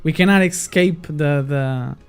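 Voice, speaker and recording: A man co-hosting a casual chat, speaking haltingly and often repeating his phrases. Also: fairly high pitch, smooth. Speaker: co-host. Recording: faint music behind the voice.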